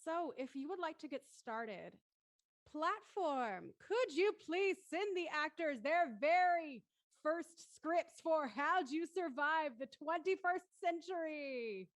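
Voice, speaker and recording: A woman with a high voice hosts a live show. Her style is upbeat and conversational, and the recording is dry and close. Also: animated, takes it slowly, no echo. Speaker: host of a live audio drama show. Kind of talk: upbeat casual hosting.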